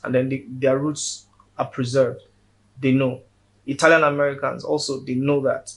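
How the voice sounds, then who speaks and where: A man's voice: medium pitch, little pitch movement, normal speed, fairly bright tone, rough recording. A man with a Nigerian accent, a guest in a casual interview, speaking earnestly and often repeating words as he builds his point.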